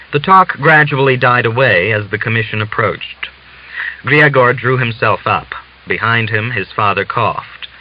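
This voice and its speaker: A man reading literary narration as an audiobook narrator, in a measured, deliberate storytelling manner. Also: fairly low pitch. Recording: dull hissy lo-fi recording.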